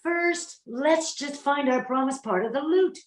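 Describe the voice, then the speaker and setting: A woman's voice: high voice, a little slow. A woman playing a brazen, slangy female crook in a comic radio play, recorded with a roomy echo. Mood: brazen, businesslike. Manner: wisecracking, slangy. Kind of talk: comic old-time radio play acting.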